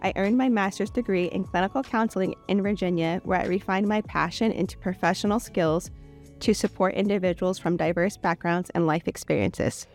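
A woman's voice: mid-range voice, fairly quick, a little mellow, faint music behind. A woman from the Bay Area, a clinical counselor, giving a composed scripted introduction. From Bay Area, California.

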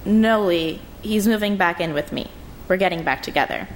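A woman reading aloud and voicing a hesitant, withdrawn woman around thirty who speaks softly and stammers. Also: on the low side, slight background noise, natural voice, low-quality recording. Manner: halting, stammering, soft.

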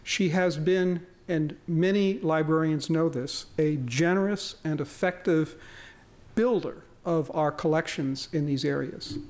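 A man's voice: fairly high pitch, a little mellow. Courteous man introducing a guest speaker. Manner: measured and courteous. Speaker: event host introducing a speaker.

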